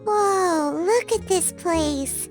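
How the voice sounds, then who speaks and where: A woman voicing a small, excitable, curious fairy companion in a video game, with a squeaky childlike voice and an exclaiming, wide-eyed manner. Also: very slow, music in the background, full character voice. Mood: amazed, curious.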